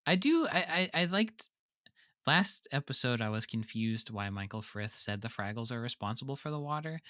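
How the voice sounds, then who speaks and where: A man's voice: mid-range voice, lively intonation, normal speed, sharp tone, no room sound. Man chatting casually, dry close sound. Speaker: podcast co-host. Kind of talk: casual chat, reacting and musing.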